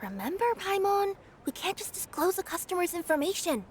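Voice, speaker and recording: A woman's voice: extremely high pitch, big pitch swings, fairly quick, a little hiss or hum. A woman voicing an earnest, naive young courier girl in an anime video game, with a girlish manner. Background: game music.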